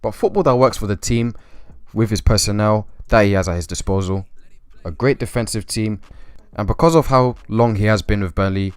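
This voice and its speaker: A man's voice: lowish voice, normal speed, clear, resonant tone, no echo. A young man hosting a football countdown, talking through his picks with chatty, opinionated asides. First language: English. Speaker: football video host. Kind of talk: scripted countdown commentary.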